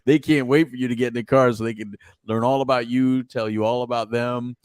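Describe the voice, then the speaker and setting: A man's voice: medium pitch, brisk, smooth, no echo. Spanish-speaking man telling stories. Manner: animated storytelling, laughs often, many restarts. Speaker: podcast co-host. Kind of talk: casual conversation.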